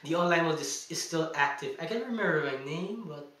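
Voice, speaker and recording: A man's voice: on the high side, animated, fairly quick, pushed and bright, recorded from a distance. A young man, a Tagalog speaker, chatting emphatically in a casual video game review and laughing between points, recorded indoors. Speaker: gaming vlogger. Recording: echoey room, mic set back.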